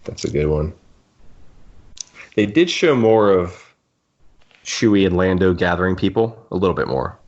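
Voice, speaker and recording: A man's voice: deep voice, very animated, normal speed, long pauses, a little mellow, dull audio. A man co-hosting a podcast in a casual fan discussion, rambling and interrupting himself as he goes. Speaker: podcast co-host.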